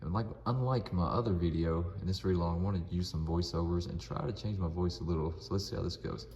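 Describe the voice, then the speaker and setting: Deep, gravelly man in an echoey room. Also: quick pace, darkish tone, muffled recording. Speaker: comic book channel host. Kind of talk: casual vlog talk and dramatic comic read-aloud narration. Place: indoor room. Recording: echoey reverberant room, audible background noise.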